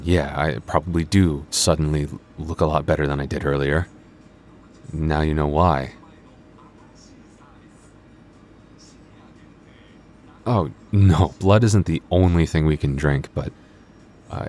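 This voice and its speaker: A man voicing a young male vampire store coworker in an ASMR roleplay, flustered and teasing, with hesitant, sardonic banter. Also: rumbling low. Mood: sheepish, explaining. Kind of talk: ASMR roleplay audio drama.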